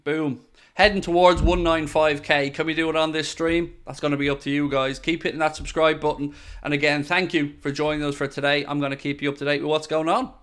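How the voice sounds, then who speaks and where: A man's voice: a little high, fairly quick, clear, resonant tone. A man hosting a live football watchalong, calling the play and joking with his viewers. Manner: excitable, jokey, addresses viewers directly. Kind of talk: live match commentary.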